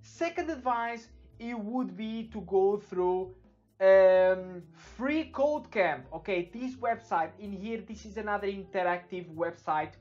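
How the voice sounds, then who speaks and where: A man's voice: high-pitched, animated, takes it slowly, a little mellow. A young man from Portugal with a Portuguese accent, giving a casual screen-share web development tutorial, loose and full of fillers, often checking in with "okay?". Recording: dull lo-fi with faint music behind.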